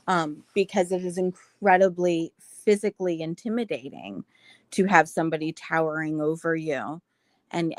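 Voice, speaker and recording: A woman speaking on a discussion panel, explaining her view in an earnest, measured way. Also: dry recording. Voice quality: self-described naturally high-pitched voice.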